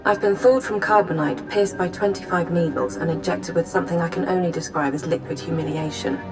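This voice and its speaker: A woman's voice: lowish voice, brisk, close to an everyday voice. Actress as proud, sardonic female agent, music behind. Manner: dry, wry, guarded. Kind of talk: scripted video game acting.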